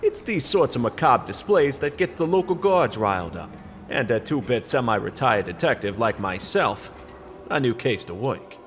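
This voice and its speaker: An actor playing a world-weary, semi-retired goblin detective in a radio play, with wry hardboiled noir delivery. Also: male voice, medium pitch, big pitch swings, normal speed, close to an everyday voice. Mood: brooding, wry.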